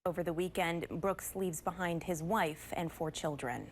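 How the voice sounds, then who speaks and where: A woman's voice: fairly low pitch, moderate pace. American woman news anchor, noticeable background noise. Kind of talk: scripted news broadcast narration.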